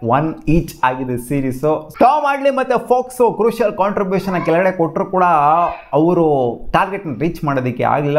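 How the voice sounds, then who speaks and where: A young man talks through a cricket match in a casual, lively way. He gestures as he speaks from a seated spot in a small home studio. He slips English words into his talk. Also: medium pitch. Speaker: cricket channel host. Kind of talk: casual post-match analysis. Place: small home studio with gaming chair and lamps.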